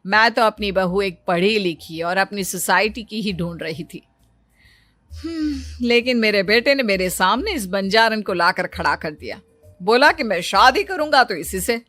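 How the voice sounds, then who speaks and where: A woman's voice: mid-range voice, expressive, a little slow, fairly bright tone. A woman voicing a bossy, scheming, wealthy mother-in-law in a cartoon story, with a haughty manner. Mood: falsely helpless. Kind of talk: Hindi cartoon story dialogue. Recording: music behind the voice.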